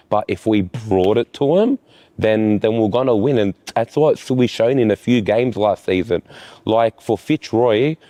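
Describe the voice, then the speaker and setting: A man, a futsal goalkeeper, answers interview questions in a low, mellow voice. He keeps restarting his sentences and filling gaps with "like". The sound is close and dry. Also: no room sound. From Australia. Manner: halting, restarts often and leans on "like". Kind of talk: post-season player interview.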